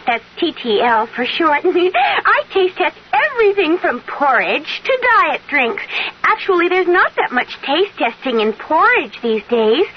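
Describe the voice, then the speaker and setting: Cute, chatty storybook miss, high and bouncy, radio spot, muffled sound with faint noise. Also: female voice. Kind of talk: 1970s radio commercial acting.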